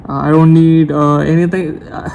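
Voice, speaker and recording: Rambling nineteen-year-old man, flat delivery. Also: on the high side, normal speed, gravelly, lo-fi sound, a little hiss or hum. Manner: rambling, hesitant, self-correcting. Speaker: YouTuber. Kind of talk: unscripted talk to camera. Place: room at night. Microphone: camera mic.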